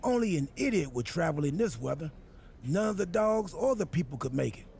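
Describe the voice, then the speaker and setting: An actor playing a goofy, self-important wrestler turned boss in a video game cutscene, with a gravelly, husky voice and an earnest, absurdly literal, rambling manner. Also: male voice, a little high, very animated, a little fast, a little mellow, a little hiss or hum. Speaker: wrestler acting as general manager.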